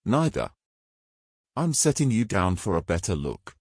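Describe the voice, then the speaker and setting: A British man playing a bold, gruff, teasing knight in a fantasy audio drama, with a wry, brash manner and old-fashioned turns of phrase. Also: on the low side, huge pitch swings, a little slow, no room sound, studio quality, heavily acted. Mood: coaxing, determined.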